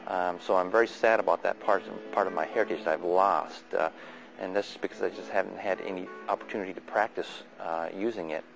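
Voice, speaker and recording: A man in his fifties from California, whose first language is Chinese, recalling his childhood in a measured, plain, reflective way. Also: sits low, steady tone, gravelly, music in the background, low-quality recording. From Sunnyvale, California. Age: 50s. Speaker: oral history narrator. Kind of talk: reading a prepared memoir, then unscripted recollection.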